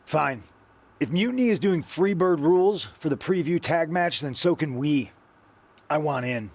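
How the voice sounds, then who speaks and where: A blunt, vengeful wrestler. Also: male voice, fairly high pitch, expressive, normal speed, a little mellow. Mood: determined. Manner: blunt, indignant, clipped replies. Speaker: wrestler seeking revenge. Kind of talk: video game cutscene dialogue.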